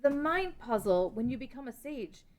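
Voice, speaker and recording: A woman plays a young fantasy princess and sage, earnest and conflicted. Her high voice moves freely up and down, in the manner of a dramatic table read. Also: animated, normal speed, a little mellow. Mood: wondering.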